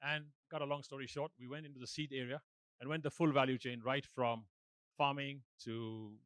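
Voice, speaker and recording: A man's voice: mid-range voice, steady tone, normal speed, fairly bright tone, no echo. A man with a Kenyan accent, a company chairman explaining his background on a business panel. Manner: explanatory, storytelling. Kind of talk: panel introduction.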